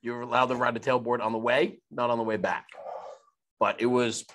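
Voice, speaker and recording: A man's voice: mid-range voice, little pitch movement, a little fast, fairly bright tone. A man chatting casually among colleagues at a table. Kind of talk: casual chat. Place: event booth room with curtain backdrop.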